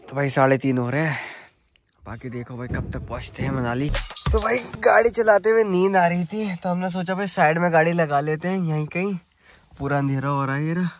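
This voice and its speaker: A man's voice: a little high, fairly quick, soft background music. A young man chatting casually to viewers during a night road trip, filmed on a phone inside his car. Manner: chatty, addresses viewers as bhai. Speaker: car vlogger.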